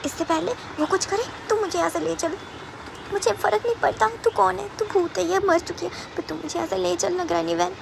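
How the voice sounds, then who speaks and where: Woman acting as a captive young man, angry, defiant, pleading, high voice, creaky edges, audio story. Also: quick pace, noisy background. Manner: indignant, stammering, repeats demands.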